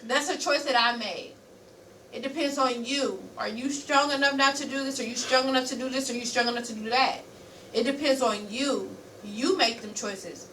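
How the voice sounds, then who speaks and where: A woman's voice: high voice, fairly even intonation, moderate pace, a bit bright. A woman in her early twenties co-hosting a podcast, debating casually and emphatically, repeating phrases for force. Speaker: podcast co-host. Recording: roomy, distant mic, faint noise.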